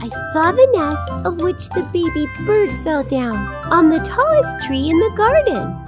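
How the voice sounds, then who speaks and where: A woman's voice: very high-pitched, very animated, measured pace, exaggerated voice. A woman voicing a kind, curious little girl in a children's cartoon, asking the young audience simple questions. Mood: gentle, helpful. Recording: children's music under the voice.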